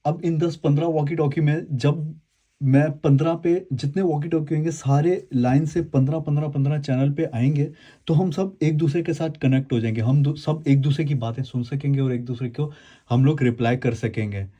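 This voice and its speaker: A man's voice: fairly high pitch, steady tone, moderate pace, mellow. A man hosting a casual unboxing and review video, explaining to viewers in a rambling way and often repeating and correcting himself. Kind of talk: casual explanatory product demo, speaking to viewers.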